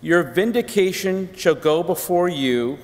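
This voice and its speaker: Slow solemn man reading scripture. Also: high-pitched, expressive. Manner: measured, solemn pacing. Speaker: lector. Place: large church. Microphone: lectern microphone. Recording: long church reverb.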